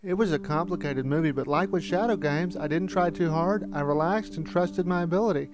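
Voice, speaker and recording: A man's voice: on the high side, a little fast. A man narrating a reflective, anecdotal documentary retrospective as the filmmaker. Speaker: filmmaker looking back on studio's history. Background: music.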